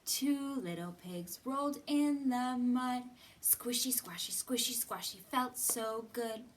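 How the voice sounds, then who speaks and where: A woman hosting a children's storytime, reading and counting in an expressive, sing-song way with drawn-out words. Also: a little high, a little hiss or hum. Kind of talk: expressive read-aloud and counting for young children. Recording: somewhat distant from the mic.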